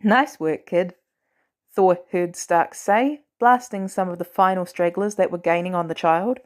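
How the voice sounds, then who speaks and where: A woman narrating fan fiction in an animated storytelling style. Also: lowish voice, moderate pace. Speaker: podfic reader.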